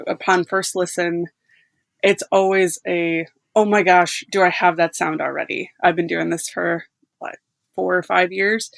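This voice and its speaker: A young woman talks casually about her sound design work, laughing easily between thoughts. Her voice carries a gravelly fry at the ends of phrases. The recording is dry and close, with no room around her. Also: on the low side, a bit bright, no room sound. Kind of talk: casual podcast chat.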